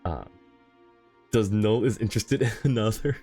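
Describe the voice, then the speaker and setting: A man's voice: lowish voice, normal speed, dark tone, husky, faint music behind. A man live streaming a game, chatting casually with viewers in an easygoing, rambling way and reading comments aloud. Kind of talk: casual chat with viewers while gaming.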